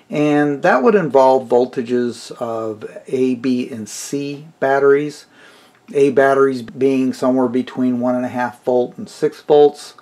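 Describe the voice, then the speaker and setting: A man's voice: mid-range voice, a little mellow. A middle-aged man giving an informal, unscripted explanatory review as a hobbyist, in a plain-spoken, conversational way.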